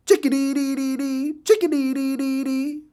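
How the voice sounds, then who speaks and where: A man's voice: very high voice, level tone, moderate pace, mellow, voice pushed up. A man voicing a tiny, saucy male chickadee in a storybook, chirping repetitively.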